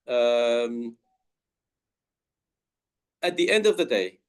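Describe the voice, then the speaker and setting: Australian man, guest speaker, mid-pitched voice, measured and clear delivery. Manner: slow, careful, clear explanation. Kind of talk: webinar talk.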